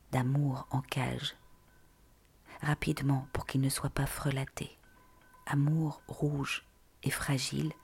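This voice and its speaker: A woman narrator reading a poetic, introspective literary monologue in a hushed, breathy way. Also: extremely low pitch.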